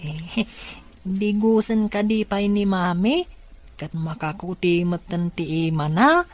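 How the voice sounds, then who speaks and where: A woman's voice: on the low side, animated, slow. A woman playing a naive, questioning young child in an Ilocano radio drama, with an Ilocano accent. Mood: worried, curious.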